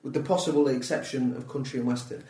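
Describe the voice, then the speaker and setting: A man's voice: medium pitch, quick pace, echoey room, far from the mic. A man with a Mancunian accent, a guest comedian in a casual podcast chat, ranting with plenty of swearing and jokes. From England, Manchester area. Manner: swearing, animated, jokey rants.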